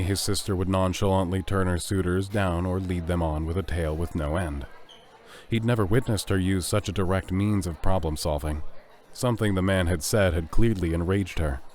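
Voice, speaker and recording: A man's voice: low-pitched, normal speed, a little mellow. A man narrating a scripted audio drama as its storyteller. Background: music.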